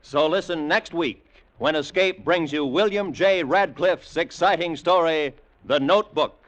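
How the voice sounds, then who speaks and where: A man's voice: fairly high pitch, a little slow, background noise. A man announcing in scripted 1950s network radio style, with a formal, carefully enunciated delivery. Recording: dull vintage radio, music behind.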